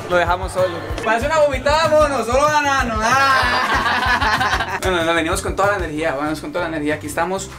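A man's voice: high voice, fast. A young man hosting a casual travel vlog, joking and exclaiming as he goes. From Central America. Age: young adult. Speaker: vlogger host. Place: airports, hotel rooms and a packed auditorium. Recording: loud music and a crowd behind.

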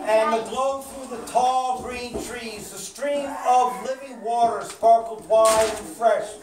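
A woman's voice: on the high side, takes it slowly. A woman narrating a children's storybook for a live puppet show. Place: indoor church or hall room with puppet stage. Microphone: camera at a distance. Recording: roomy, distant mic, clear background noise.

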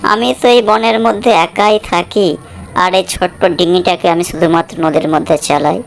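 A woman's voice: lowish voice, steady tone, moderate pace, vocal fry, voice pushed down. A woman voicing a friendly, lonely ferry boatman with an obliging, plain-spoken manner in a children's cartoon.

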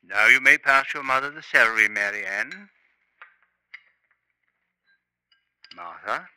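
An actor playing a genteel, pompous professor with a secret, formal and precise, in a 1950s radio drama. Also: male voice, mid-range voice, normal speed, bright, forceful tone, clean tone, low-quality recording. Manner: formal, precise, hesitant in places. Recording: old 1950s radio recording.

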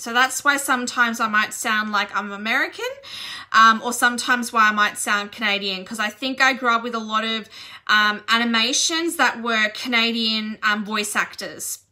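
A woman's voice: fairly high pitch, bright, forceful tone. A woman in her thirties from Australia gives an earnest, encouraging self-development talk to camera at home. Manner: earnest, encouraging, slow and deliberate. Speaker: business and self-development coach. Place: indoor room at home.

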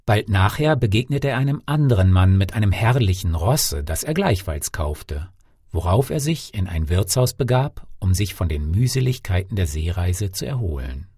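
A man tells a fairy tale in a low, husky voice with a mellow tone. His scripted audiobook delivery is theatrical and deliberately phrased. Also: lively intonation, dry recording, clean studio sound. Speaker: fairy-tale narrator, actor. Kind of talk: expressive scripted audiobook storytelling.

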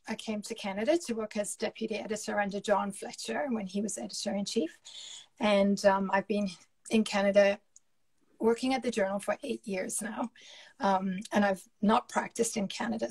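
A woman in her forties from South Africa with a South African accent, talking about her career in a relaxed, reflective way over a webcam video call from home. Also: mid-range voice, poor audio. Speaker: journal editor-in-chief. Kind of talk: casual interview chat. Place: home room with curtain. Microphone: laptop webcam on video call.

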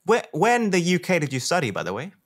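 A man's voice: a little high, wildly swooping pitch, quick pace. A man from Malaysia hosting an interview over a video call, chatty and effusive, speaking into a desk microphone. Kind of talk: friendly interview questions. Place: home studio, video call. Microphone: desk microphone on a boom.

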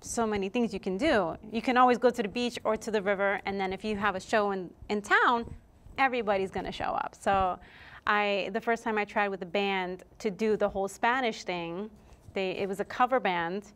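A woman's voice: mid-range voice, brisk. A woman in her thirties who grew up in Puerto Rico, a guest singer telling stories in a casual interview in a TV studio. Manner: chatty, animated storytelling with laughs. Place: TV studio set, indoors. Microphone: lapel mic.

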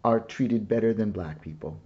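A man reading as a calm, proud mother. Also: on the low side, moderate pace, breathy edge, muffled recording. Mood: reassuring.

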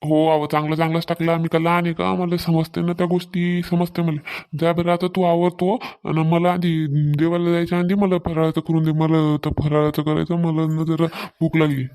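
A woman's voice: deep voice, completely flat delivery, brisk, warm tone, pitched below the performer's own voice. A woman voicing an easygoing, food-loving village husband in a cartoon comedy, speaking casually with a rural Maharashtrian accent. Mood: hungry, insistent. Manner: casual, colloquial, placating. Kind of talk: amateur cartoon comedy dialogue.

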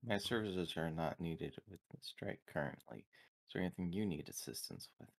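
Formal android attendant, acted by a man, very deep and gravelly, deferential, measured, for a tabletop role-play. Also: very animated, exaggerated voice. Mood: polite, dutiful.

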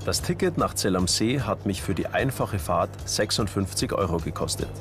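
A man narrating a documentary voice-over in a calm, matter-of-fact way. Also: on the low side, moderate pace. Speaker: documentary presenter. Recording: voice-over with music bed.

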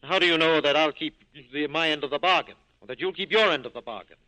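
An actor playing a suave, corrupt police captain with an urbane, droll manner in 1940s radio style. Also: male voice, a little high, fast, pushed and bright, rough recording. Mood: shrewd.

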